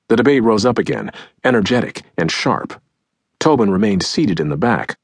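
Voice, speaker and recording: Husky, low male audiobook narrator, very expressive. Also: no echo, studio quality. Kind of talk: scripted nonfiction audiobook narration, acting out quotes. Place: close, dry recording studio.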